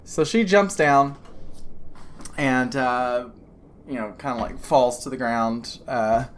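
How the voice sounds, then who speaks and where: A woman's voice: rumbling low, takes it slowly. A woman running a tabletop game as game master, narrating loosely with lots of fillers and laughing easily. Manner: loose and chatty, many fillers, laughs often.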